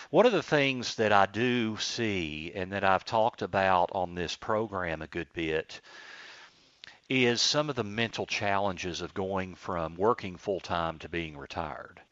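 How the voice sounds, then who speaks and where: Radio host, man in his fifties, low voice, dry studio sound, easygoing talker. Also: no room sound, lo-fi sound. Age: 50s. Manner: relaxed conversational, frequent filler words. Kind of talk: radio talk show conversation. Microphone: studio microphone.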